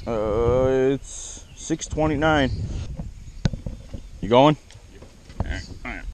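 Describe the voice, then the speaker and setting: A man with a mid-range voice talks in a laid-back, slangy way, like a casual fishing vlogger. The action-camera sound is clearly noisy, with outdoor boat and water ambience behind him. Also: medium pitch, slow pace. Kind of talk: casual fishing vlog chat. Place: outdoors in a small boat on a lake. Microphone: action camera worn on the body.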